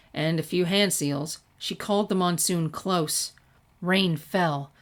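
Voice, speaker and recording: A woman narrates fiction in a slightly low voice. She reads scripted prose as a storyteller would for an audiobook listener. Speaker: audiobook-style reader of fan fiction.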